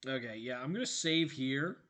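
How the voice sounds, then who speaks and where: A hoarse male streamer in casual stream chat, tired and rambling, thanking his viewers. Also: mid-range voice, lively intonation, moderate pace, a bit bright, a little hiss or hum. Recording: game music playing behind.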